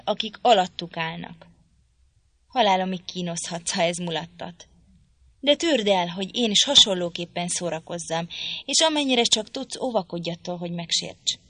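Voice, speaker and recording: A woman's voice: mid-range voice, a bit bright, no room sound. A woman reading the part of a bitter, vengeful young man, talking coldly and threateningly. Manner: cold, threatening.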